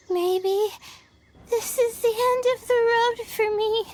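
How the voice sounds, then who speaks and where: Faint, exhausted young contestant. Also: female voice, very high-pitched, a little mellow. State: weak, out of breath. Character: exhausted, frail young woman contestant, despairing and devoted. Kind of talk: video game voice acting.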